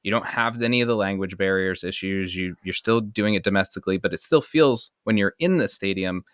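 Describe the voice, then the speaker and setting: A man's voice: low-pitched, a little fast, a bit bright, smooth, no room sound, clean studio sound. An American man hosting a casual podcast conversation, talking in a rambling, enthusiastic way. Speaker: podcast host.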